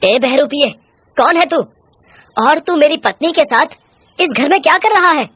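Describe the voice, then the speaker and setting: A woman's voice: high-pitched, expressive, moderate pace, fairly bright tone. A woman voicing an indignant, hot-headed young village husband in a horror story, scolding and challenging.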